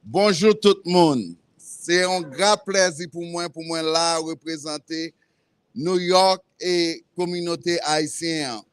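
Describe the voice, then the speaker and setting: A man with a Haitian accent and Creole as his first language makes personal remarks in public. His voice is smooth and slightly high, captured dry. He speaks slowly, in a measured, halting way. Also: no room sound. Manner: halting, measured, with self-corrections. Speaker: librarian, campaign participant. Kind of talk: prepared personal remarks at a press conference. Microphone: event microphone.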